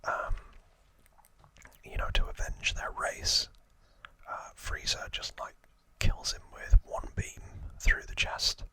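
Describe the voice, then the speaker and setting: A man speaks entirely in a whisper, as an ASMR host sharing trivia. His delivery is slow and halting, with hushed phrases set down a few words at a time. The whisper has a crisp, bright edge to it.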